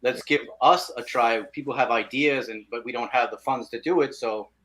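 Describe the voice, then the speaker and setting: A man's voice: mid-range voice, moderate pace, fairly bright tone, low-quality recording. A man chatting informally as an independent film guest, speaking in short bursts. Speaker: independent filmmaker, podcast guest. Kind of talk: casual chat.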